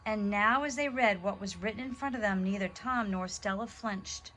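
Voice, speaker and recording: Bright-voiced woman reading a children's story. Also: mid-range voice, moderate pace, soft background music. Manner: animated, dramatic emphasis on key words. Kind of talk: expressive children's storybook read-aloud.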